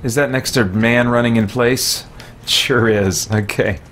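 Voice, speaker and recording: A man's voice: medium pitch, fairly even intonation, measured pace, fairly bright tone, a little hiss or hum. A man streaming a video game, giving casual, easygoing commentary and muttering mild exclamations to himself. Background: video game sound effects.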